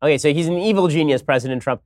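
A man hosting an opinionated political commentary show, talking in a wry, sarcastic way and moving briskly from segment to segment. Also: fairly high pitch, a little mellow, dry recording, studio quality. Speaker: host.

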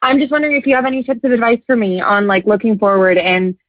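A woman's voice: fairly high pitch, level tone, quick pace, no room sound. A dry, slightly muffled voicemail recording of a young woman calling in with a question. She speaks casually and personally, in an earnest, appreciative way. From Canada. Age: young adult. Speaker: caller leaving a voicemail question.

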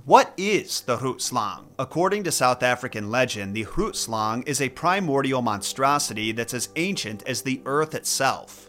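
A man's voice: medium pitch, sharp tone. A young adult man with a Midwestern American accent hosting a podcast, narrating a scripted folklore story with wry, jokey asides. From Midwest United States. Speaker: podcast host.

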